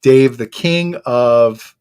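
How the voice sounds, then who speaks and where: A woman hosting a casual livestream from a basement, talking loudly into one shared table mic and greeting viewers by name. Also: extremely low pitch, very slow, clean tone. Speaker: show host. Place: basement studio. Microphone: one shared table microphone.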